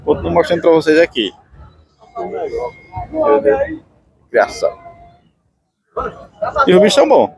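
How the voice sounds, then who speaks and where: A man's voice: high voice, huge pitch swings, takes it slowly, creaky, long pauses, breathy edge, poor audio. A man from Pernambuco, vlogging and chatting with sellers at an outdoor livestock market. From Pernambuco, Brazil. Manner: chatty, friendly, repeats answers back. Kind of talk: casual on-the-spot interviews. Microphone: handheld mic held to guests. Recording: outdoor market with crowd noise. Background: crowd chatter and animals.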